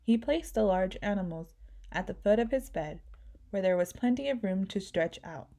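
A woman reading a children's picture book aloud, narrating gently and giving the characters lively voices. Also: medium pitch, normal speed. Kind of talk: read-aloud storytelling.

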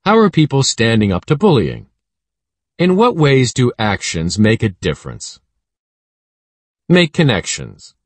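An American man reading an educational text aloud as a narrator, clearly enunciated and instructive. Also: medium pitch, huge pitch swings, unhurried, long pauses, no echo. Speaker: audiobook narrator.

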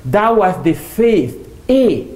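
A woman's voice: medium pitch, very slow, echoey room, poor audio. Fervent woman giving testimony. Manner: animated, fervent. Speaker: interview guest. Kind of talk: church testimony. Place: TV studio set.

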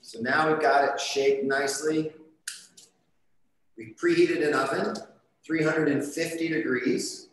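A man cooking on a studio kitchen set, explaining each step in a relaxed, chatty way. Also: fairly high pitch, a little slow, pauses often. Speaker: chef demonstrating a recipe. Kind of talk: live cooking demonstration. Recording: echoey kitchen with light background noise.

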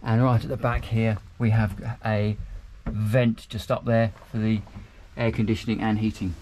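A man's voice: fairly low pitch, flat delivery, unhurried, mellow, a little hiss or hum. Guy in his 50s walking you round a boat, upbeat, handheld cam, rain faintly behind. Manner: chatty, upbeat, pointing out features as he moves. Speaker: boat reviewer. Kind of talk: walkthrough tour narration. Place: inside a small motor boat cabin, rain outside.

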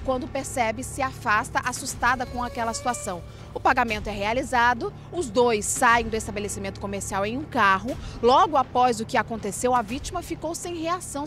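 A woman reporting live outdoors at night into a handheld mic, in a measured, formal tone. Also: on the high side, fairly bright tone. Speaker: field reporter. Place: outdoors on a city street at night.

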